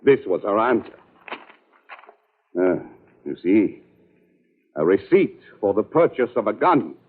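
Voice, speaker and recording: A man's voice: on the low side, sing-song, slow, full character voice. A man playing an agitated, harried doctor with stiffly formal phrasing, in 1940s radio acting style. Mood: troubled, suspicious. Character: agitated, formal, foreign-sounding male doctor, harried husband. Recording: dull old radio recording.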